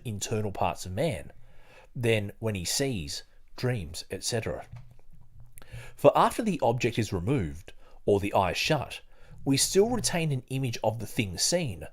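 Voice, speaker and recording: A man with a fairly low voice hosts a podcast. He speaks in a casual, discursive way, feeling his way through phrases and sometimes reading aloud. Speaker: podcast host.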